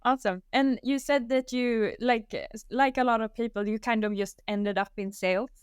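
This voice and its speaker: Woman interviewer; crisp, clean voice, dry close sound, casual and loose phrasing. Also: a little high, moderate pace, no echo. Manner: casual, hedging with "like" and "kind of". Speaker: podcast host. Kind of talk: podcast interview.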